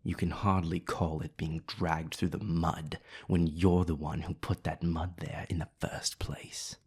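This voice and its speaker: Man playing a breathy, gravelly, scornful vampire. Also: low voice, flat delivery, measured pace, darkish tone, exaggerated voice. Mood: bitter. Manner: haughty, cutting, sardonic. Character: scornful, condescending male vampire, bitter rival. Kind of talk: scripted audio roleplay acting.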